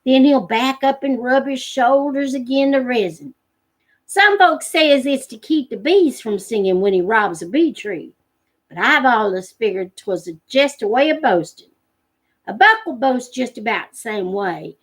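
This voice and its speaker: A woman's voice: sits high, expressive, unhurried. A woman voicing a patient, practical backwoods father and hunter in a storybook, explaining things in homespun rural dialect. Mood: instructive, folksy.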